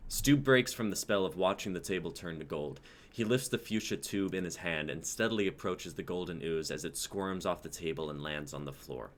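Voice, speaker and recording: A man's voice: low-pitched, normal speed, few pauses. A young man reading scripted dramatic narration of scene action as the narrator. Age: young adult.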